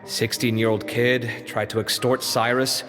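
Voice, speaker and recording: A man voicing a young, expressive and somewhat fussy man in a video game, lively and talkative. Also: mid-range voice, a little slow, close to an everyday voice. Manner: lively, chatty and a little flustered. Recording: reverberant game scene with music.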